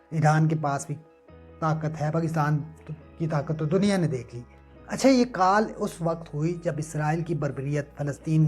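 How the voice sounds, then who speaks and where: A man's voice: a little high, darkish tone. A man gives political news analysis. His delivery is emphatic and rhetorical, partly read and partly off the cuff. Music plays behind him. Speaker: online news commentator. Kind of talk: news analysis commentary, part scripted, part casual asides.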